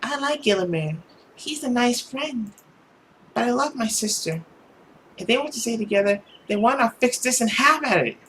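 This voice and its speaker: A performer voicing a mild, soft-hearted girl who speaks in a subdued, reluctant way. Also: medium pitch, lively intonation, moderate pace, slight background noise, pitched above the performer's own voice, lightly acted. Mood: earnest.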